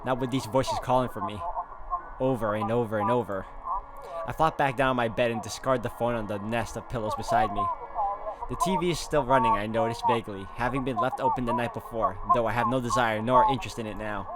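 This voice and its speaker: A man's voice: mid-range voice, a little fast, very noisy. A man hosting a game walkthrough, reading a visual novel aloud expressively and adding his own commentary. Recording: loud music over voice.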